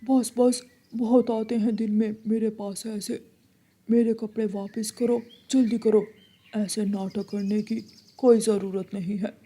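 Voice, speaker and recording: A woman voicing a gruff, curt male bird shopkeeper in a children's cartoon, with a husky, dismissive delivery. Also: mid-range voice, flat delivery, a little slow, warm tone, lightly acted. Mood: stern, dismissive.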